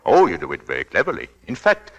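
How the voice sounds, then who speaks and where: Cold, controlling Victorian husband: low, forceful voice, silky needling, radio melodrama. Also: male voice, huge pitch swings, quick pace, full character voice. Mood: silkily flirtatious. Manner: silky, pointed questioning with sudden sharpness. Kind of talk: 1940s radio acting.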